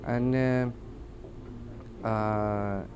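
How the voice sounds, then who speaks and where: Halting low-voiced man with Mexican Spanish accent. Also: fairly even intonation, a little mellow, slight background noise. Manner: halting, hesitant, searching for words in non-native English. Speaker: air traffic controller giving witness testimony. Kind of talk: interview testimony. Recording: music under the voice. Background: background music.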